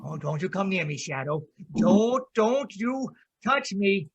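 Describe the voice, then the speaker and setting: An actor playing a desperate, defiant killer in old-time radio drama style, frantic and taunting. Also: male voice, high-pitched, animated, measured pace, breathy edge, highs cut off, faint music behind, heavily acted. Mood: defiant, panicked. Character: desperate, defiant male killer threatening with a grenade.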